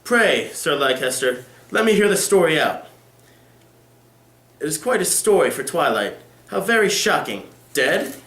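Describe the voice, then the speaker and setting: A man's voice: a little high, sing-song, measured pace, fairly bright tone, exaggerated voice. A man reading the part of a languid, careless, haughty aristocratic lady in a formal dramatic reading. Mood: coolly curious. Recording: echoey room.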